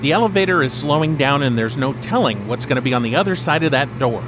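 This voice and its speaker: A man's voice: medium pitch, animated, quick pace, background noise, lightly acted. A man playing an eager, easily distracted podcaster in a comedic audio drama. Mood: exasperated.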